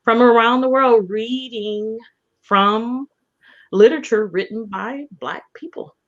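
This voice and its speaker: A woman's voice: a little high, takes it slowly. A woman co-hosting a casual promotional chat in an enthusiastic, encouraging way. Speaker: co-host and event organizer. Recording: slightly dull, lo-fi audio.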